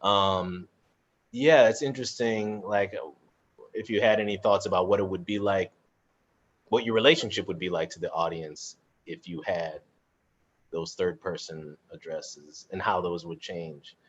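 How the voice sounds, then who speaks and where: A man casually moderating a panel chat, informal and halting as he thinks aloud. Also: low-pitched, steady tone, muffled recording. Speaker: cast member moderating a live audience Q&A.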